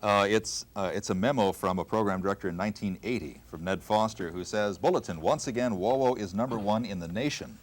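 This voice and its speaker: A man, a veteran broadcaster, casually explaining and reminiscing in a panel conversation, somewhat halting. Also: lowish voice.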